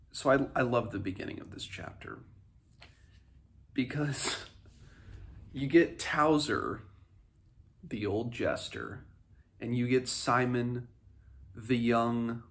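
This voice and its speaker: A man hosting a read-along, giving a casual book recap with rambling, halting, self-correcting commentary. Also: mid-range voice.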